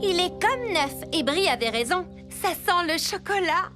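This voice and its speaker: A woman voicing an enthusiastic, expressive cartoon girl with a theatrical flair in a French dub. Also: very high-pitched, moderate pace. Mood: pleased. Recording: clear music behind the voice.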